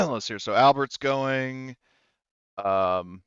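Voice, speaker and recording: A man's voice: mid-range voice, drawn-out words, fairly bright tone, smooth, no echo, highs cut off. A man running a tabletop game as game master, narrating casually and often restarting his sentences.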